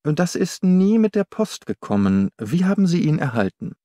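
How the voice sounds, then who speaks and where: A man's voice: on the high side, big pitch swings, moderate pace, mellow, no echo, studio quality, voice pushed up. A man voicing a courteous, analytical detective in an audiobook, polite and self-possessed. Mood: probing. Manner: polite, probing.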